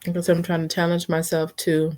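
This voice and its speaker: Low-voiced woman, slightly congested. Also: completely flat delivery, brisk, background noise. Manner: unscripted, rambling storytelling. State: mildly congested, sniffly. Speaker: vlogger practicing for a podcast. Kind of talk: casual personal vlog chat. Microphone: built-in computer mic, no external microphone.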